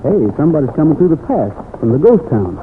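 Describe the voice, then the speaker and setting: A heroic young cowboy from a vintage radio western, American and plainspoken. He takes charge in a fairly high, lively voice. The recording is narrow and clearly old, with faint noise. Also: fast. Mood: confident. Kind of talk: 1940s-50s radio western acting.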